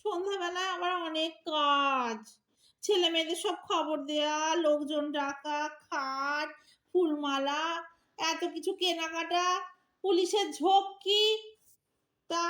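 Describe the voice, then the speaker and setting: A woman acting a sharp-tongued elderly wife in an audio drama, sarcastic and nagging but secretly caring. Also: extremely high pitch, exaggerated voice. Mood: mocking, sarcastic. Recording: echoey room.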